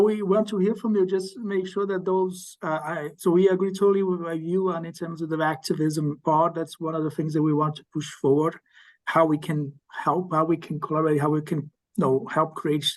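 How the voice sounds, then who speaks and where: High-voiced man moderating a workshop. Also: a little mellow, rough recording. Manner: brief thanks, quick hand-offs to speakers. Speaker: journal editor hosting a workshop. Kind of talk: moderating an online discussion.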